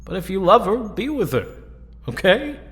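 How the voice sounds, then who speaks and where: Man as a lively, encouraging young hero. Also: high voice, normal speed, exaggerated voice. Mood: encouraging, fond. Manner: casual, buddy-like talk. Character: warm, encouraging young male hero stepping down as leader. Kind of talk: audio drama acting. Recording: long hall reverb.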